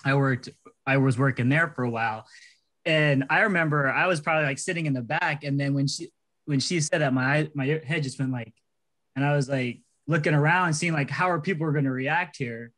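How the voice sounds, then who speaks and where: A man's voice: on the high side, fairly quick, fairly bright tone. A man in his thirties on a video call, speaking earnestly as a panelist, with frequent restarts. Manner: earnest, conversational, many restarts. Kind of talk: panel discussion. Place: indoors, small room. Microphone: laptop webcam on a video call.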